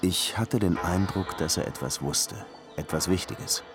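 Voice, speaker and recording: A man's voice: low voice, measured pace. An actor playing a reflective detective who narrates his case in a radio drama, composed, literary and dryly ironic. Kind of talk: scripted first-person radio drama narration. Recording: music under the voice.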